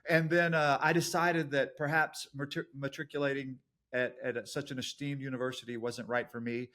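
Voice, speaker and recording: A man's voice: mid-range voice, little pitch movement, a little fast, a bit bright. A middle-aged man with an East Texas accent, a guest telling his life story in a jokey, self-deprecating way. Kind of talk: casual storytelling interview.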